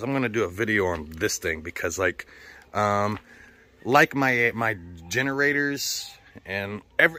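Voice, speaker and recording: A man's voice: on the low side, bright, forceful tone. A man talking casually and ramblingly to his viewers as a vlogger, blunt, slangy and full of swearing. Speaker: vlogger hosting a channel.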